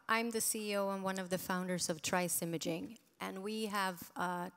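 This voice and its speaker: Brief: persuasive woman founder, mid pitch, echoey hall. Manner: punchy, persuasive. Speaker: panelist, CEO. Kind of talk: startup pitch on a panel.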